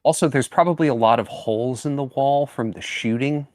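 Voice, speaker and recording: A man's voice: medium pitch, brisk, darkish tone, no echo, studio quality. A man chatting casually as a player in a tabletop roleplaying game, asking questions about his moves.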